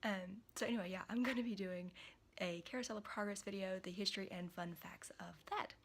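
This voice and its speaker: A young woman, hoarse from a cold, chatting casually to camera in a small room, rambling with many fillers and restarts. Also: mid-range voice, brisk, background noise. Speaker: vlogger.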